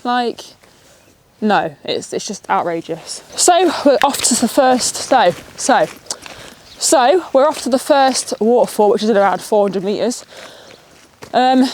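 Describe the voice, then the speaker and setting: A young woman from Nottingham talks brightly and excitably as she walks, her voice sitting fairly high. She sounds sniffly and a touch nasal. A faint hiss runs behind her outdoor chatter. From Nottingham, England. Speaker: travel vlogger. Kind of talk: chatty walk-and-talk vlog. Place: outdoors on a countryside walk, rain.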